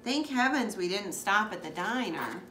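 A woman's voice: mid-range voice, big pitch swings, moderate pace, a bit bright. A woman voicing a weary, exasperated mother in a children's storybook. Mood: reluctant. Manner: protesting, weary. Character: exasperated, reluctant but loving young boy's mother. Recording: echoey room with background noise.

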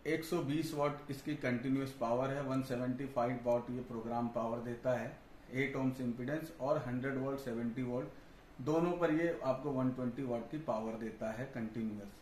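A man's voice: mid-range voice, fairly even intonation, normal speed, a little mellow. A man, explaining a product informally and step by step to camera. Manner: explanatory, repeats "you see" and "I'll show you". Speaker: product reviewer and audio installer. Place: indoor tiled storeroom with boxes. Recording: echoey room, background noise.

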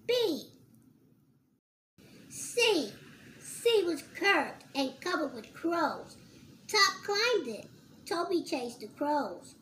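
A child with a very high, lively voice reads a picture book aloud at a slow pace. The reading is sing-song, on an amateur recording with slight background noise.

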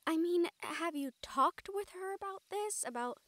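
A woman's voice: extremely high pitch, animated, moderate pace, a bit bright. A woman playing an exasperated but caring siren in an audio roleplay, earnest and sighing, with Spanish endearments. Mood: puzzled, patient. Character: female siren-banshee, exasperated but caring partner and team mate.

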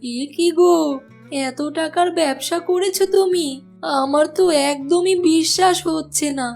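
A woman's voice: extremely high pitch, a little slow. A woman voicing a worried, tender village wife in a cartoon, speaking plaintively. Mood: amazed. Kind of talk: Bengali cartoon dialogue. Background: soft music.

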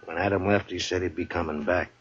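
An actor playing a weary, gruff frontier marshal in a 1950s radio western, speaking in a laconic, hesitant way. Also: male voice, deep voice, steady tone, fast talker. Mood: calm, laconic. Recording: muffled, noisy 1950s radio recording.

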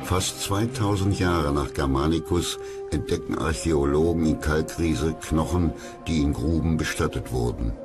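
A man's voice: a bass voice, normal speed. A man narrating a documentary from a script in a solemn, dramatic storytelling manner. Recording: music loud behind the voice. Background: loud background music.

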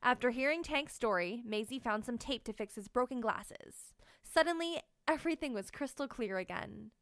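Female children's book narrator, high-pitched, expressive storytelling, dry recording. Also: moderate pace, dry recording. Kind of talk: children's read-aloud narration.

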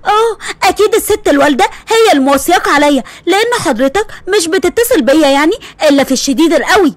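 A woman's voice: very high-pitched, lively intonation, quick pace, dry recording. A woman voicing an irritable, sarcastic tomboyish young woman with an Egyptian accent. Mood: exasperated. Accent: Egyptian Arabic. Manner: exasperated, sarcastic.

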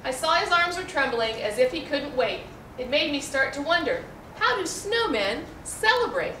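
High-pitched American woman reading a storybook. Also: sing-song, moderate pace, bright, forceful tone. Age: middle-aged. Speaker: librarian reading a picture book aloud. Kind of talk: children's storybook read-aloud. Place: indoor room, seated before a backdrop. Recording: roomy, distant mic, faint noise.

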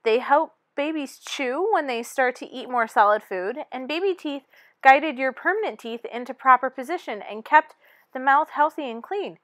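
A woman's voice: high-pitched, animated, normal speed, a bit bright. A woman voicing an encouraging dentist teacher who explains things to a class in a storybook. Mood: encouraging, correcting. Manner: instructive classroom delivery.

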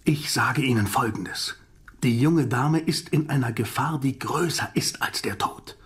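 A man acting a grave, commanding older professor in an audio drama, solemn, urgent and deliberate, with a husky voice. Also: medium pitch, fairly bright tone. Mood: grave warning.

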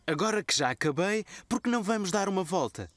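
An actor playing a patient, gently playful young father in a children's cartoon, speaking simply with a European Portuguese accent. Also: sits high, animated, fast, no room sound. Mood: patient, explaining.

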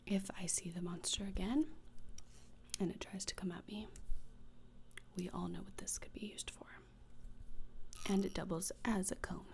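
A young woman playing a gloomy, morbid gothic teenage schoolgirl in a whispered ASMR roleplay, deadpan, deliberate and disdainful, close to the microphone. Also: medium pitch, vocal fry, a little hiss or hum, close to an everyday voice. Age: young adult. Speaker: ASMR creator. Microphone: close desktop microphone, seen.

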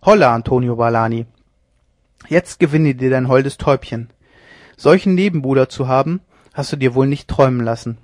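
A man voicing a swaggering, mocking young ship's lieutenant who talks loudly and jeers, in a dry close recording. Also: mid-range voice, normal speed, few pauses, no room sound, lightly acted. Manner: boisterous, jeering, loud.

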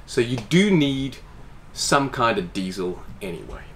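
A man's voice: medium pitch, a little slow. Guy in his 40s chatting about his boat, talks with his hands, boat cabin, little bit of noise behind. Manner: animated, gestures with hands while explaining. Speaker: boat owner. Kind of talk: casual interview. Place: inside a boat salon.

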